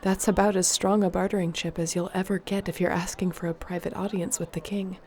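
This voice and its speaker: A woman playing an earnest, determined fantasy sorceress in an intimate audio roleplay, speaking in a measured, softly formal way. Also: on the low side, level tone, natural voice. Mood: intent, planning.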